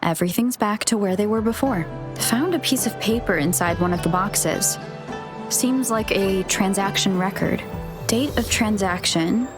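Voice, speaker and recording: A woman's voice: mid-range voice, normal speed, music in the background, lightly acted. A woman playing an observant companion investigator in a video game, speaking in a matter-of-fact way.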